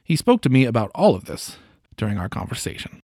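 A man hosting a podcast, narrating a story in a measured storytelling way. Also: medium pitch, sing-song, darkish tone, dry recording, studio quality. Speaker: podcast host. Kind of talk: scripted narration and interview questions.

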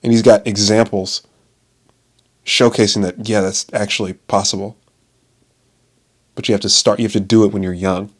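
A man in his late twenties with a fairly low voice hosts a loose solo podcast. He rambles and cuts himself off mid-thought. He swears freely and keeps laughing at his own remarks. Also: little pitch movement, normal speed, pauses often. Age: 20s. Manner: profane, self-interrupting, laughs often. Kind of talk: casual rambling monologue.

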